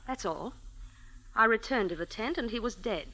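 A defiant, scheming young woman; breathy, tense and bright. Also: a little high, expressive, normal speed, low-quality recording. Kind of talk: 1940s radio acting.

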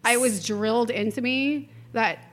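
A woman's voice: on the high side, unhurried. Laughing woman opera singer chatting. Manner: laughing, candid, self-mocking. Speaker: podcast guest, opera singer. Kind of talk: casual chat.

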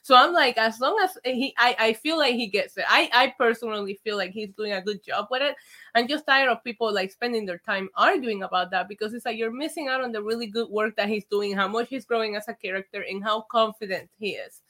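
A woman from Puerto Rico co-hosting a casual wrestling review chat, animated and chatty with lots of "like". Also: a little high, a little fast. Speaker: wrestling show co-host.

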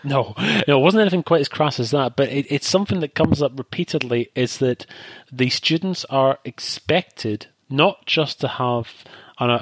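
A man's voice: medium pitch, dry recording. A man co-hosting a casual chat show, talking in long thoughtful asides. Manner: discursive, thoughtful, many asides. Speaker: podcast co-host.

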